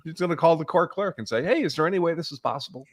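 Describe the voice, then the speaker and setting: A man's voice: fairly high pitch, animated, rapid-fire, dry recording, studio quality. A man speaking as a guest commentator on a casual panel, explaining things in an informal, chatty way. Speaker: guest legal commentator on a livestream.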